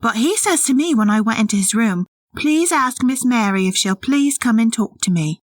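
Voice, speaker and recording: A woman's voice: fairly high pitch, animated, normal speed, no echo. A woman playing a kindly, plain-spoken young housemaid in an audio drama. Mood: sympathetic.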